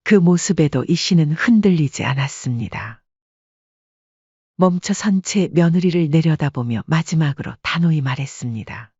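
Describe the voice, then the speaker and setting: A woman's voice: low voice, animated, normal speed, darkish tone, breathy edge, no echo, dull audio. A woman working as narrator, reading a scripted dramatic story.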